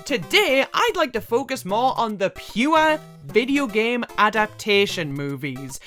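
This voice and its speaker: A woman's voice: mid-range voice, fairly bright tone. A woman narrating a scripted comedic video review in a sarcastic way, with some swearing. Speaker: video essay host.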